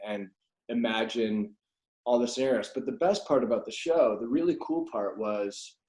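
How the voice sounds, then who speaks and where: Echoey recording, middle-aged man, mid-pitched voice, casual interview storytelling. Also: darkish tone. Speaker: guest, voice actor. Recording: echoey room sound.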